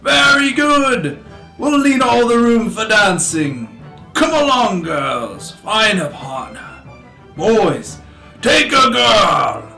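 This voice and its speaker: A jolly old merchant in a radio play, calling out slowly and heartily. The voice is high and gravelly, in an echoey space with music. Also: male voice, very animated, bright, forceful tone, heavily acted. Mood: jovial, hearty. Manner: hearty, drawn-out calls. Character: jolly, booming old merchant hosting a dance. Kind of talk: radio drama acting. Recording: echoey room, music behind.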